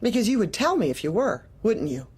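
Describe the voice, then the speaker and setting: An actress playing a heartless, manipulative office manager in a dark comedy audio drama, deliberate and probing with polite menace. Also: female voice, medium pitch, very animated, slightly rough. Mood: veiled menace.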